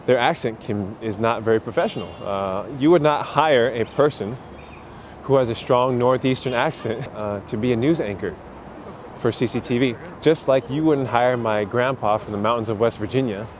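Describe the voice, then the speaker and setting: A man's voice: mid-range voice, normal speed. Chatty man vlogging on noisy streets. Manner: chatty, explanatory, fillers like uh and you know. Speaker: English teacher and vlogger. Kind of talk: casual walking vlog. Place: busy city streets outdoors. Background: faint street and traffic noise.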